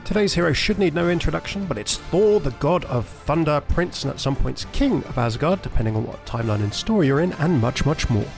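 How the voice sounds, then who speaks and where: A man's voice: fairly high pitch, expressive, a little fast, vocal fry, hardly any pauses, a little mellow. A man giving scripted explainer narration as a video host. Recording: music behind.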